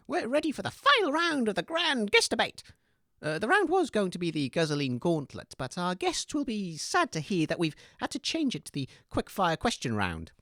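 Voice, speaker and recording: A woman's voice: medium pitch, wildly swooping pitch, moderate pace, a bit bright, no echo, clean studio sound. A woman playing a polite quizmaster co-hosting a comedy radio panel show. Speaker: co-host and quizmaster.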